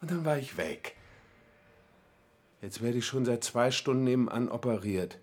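A man's voice: medium pitch, lively intonation, normal speed, a little mellow, close to an everyday voice. An actor playing a betrayed, violent husband in his forties, telling his story bluntly in a radio play monologue. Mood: grim, intense. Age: 40s. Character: betrayed, violent 47-year-old husband recounting a bloody attack.

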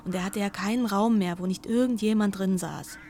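A woman's voice: medium pitch, brisk, dark tone, a little hiss or hum. An older woman, a former housemaid, recalling the past in an archival interview in a matter-of-fact way.

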